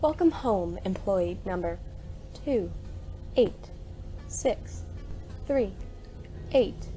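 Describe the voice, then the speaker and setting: A woman playing a robotic corporate orientation system in an audio drama, monotone and bureaucratic, with stilted procedural politeness. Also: medium pitch, extremely slow, soft background music. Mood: flatly polite. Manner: stilted, procedural, scripted announcements.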